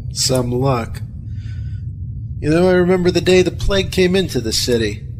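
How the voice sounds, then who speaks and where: A man's voice: fairly high pitch, expressive, measured pace. A man playing a sick, weary plague survivor in an audio drama, wry and resigned. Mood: bitter. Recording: clearly noisy recording with background ambience.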